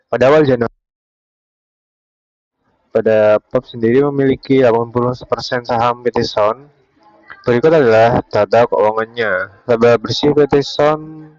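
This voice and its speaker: A man's voice: medium pitch, dry recording, muffled recording. A young man giving a step-by-step explanatory presentation as a university student. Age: young adult.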